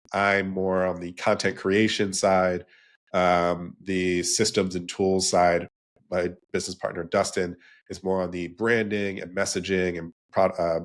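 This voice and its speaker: Man, very low voice, nasal with a cold, podcast guest, rambling lists. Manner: rambling, restarts, lists in threes. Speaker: podcast guest, branding business co-founder. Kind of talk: casual interview talk.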